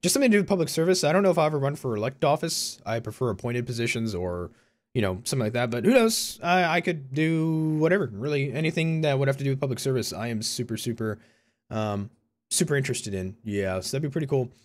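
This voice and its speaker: A man's voice: mid-range voice, expressive, quick pace. A man in his early twenties with a Californian American accent, chatting casually and informally to viewers in a rambling way, often correcting himself. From Concord, California, USA. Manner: rambling, informal, self-correcting, frequent "you know" and "like". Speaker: YouTuber and university student. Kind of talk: casual personal vlog talk to viewers.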